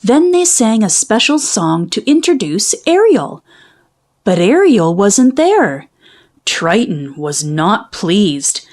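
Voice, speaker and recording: A woman reading a children's story aloud in expressive, dramatic, carefully phrased narration. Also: medium pitch, takes it slowly. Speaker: storybook reader.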